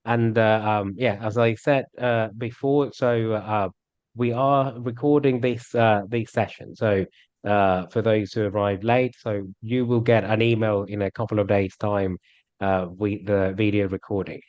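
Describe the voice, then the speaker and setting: A man's voice: lowish voice, fairly even intonation, a little slow, a little mellow, no room sound. A man moderating an online webinar panel, speaking hesitantly with many fillers. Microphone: video call. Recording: dull, dry video call audio.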